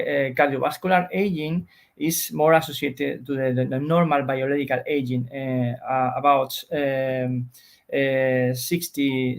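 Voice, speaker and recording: A man's voice: on the high side, creaky. A man with a Spanish accent explains research as an interview guest, searching for words in non-native English.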